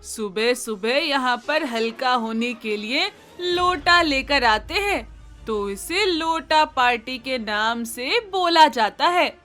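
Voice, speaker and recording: Woman acting older village mother-in-law, high bright voice, bossy, proud, emphatic, cartoon storytelling, light music behind. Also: lively intonation, takes it slowly, exaggerated voice. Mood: brisk, insistent. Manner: emphatic, scolding, matter-of-fact village talk. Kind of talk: animated moral-story voice acting.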